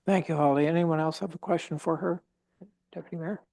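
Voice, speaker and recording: A man chairing a council meeting as mayor, speaking in a hesitant, polite way with halting restarts into a desk microphone in a council chamber. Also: fairly high pitch, fairly even intonation, gravelly, darkish tone, no room sound.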